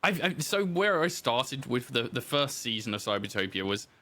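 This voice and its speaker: A man's voice: mid-range voice, big pitch swings, normal speed, bright, forceful tone. A man telling his story in casual interview chat, informal and self-deprecating, as a podcast guest who designs games.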